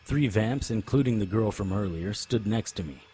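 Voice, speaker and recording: A man's voice: on the low side, lively intonation, normal speed, mellow, a little hiss or hum, close to an everyday voice, rough recording. An actor playing a tough, determined private investigator in an audio drama, terse and serious as he narrates and speaks. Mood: tense narration. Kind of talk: first-person audio drama narration and dialogue.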